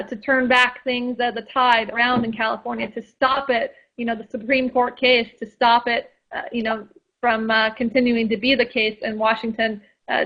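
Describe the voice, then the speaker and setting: Chatty woman on a dull lo-fi line. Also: a little high. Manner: chatty, lots of fillers and restarts. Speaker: guest. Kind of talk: casual radio interview. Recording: dull lo-fi sound.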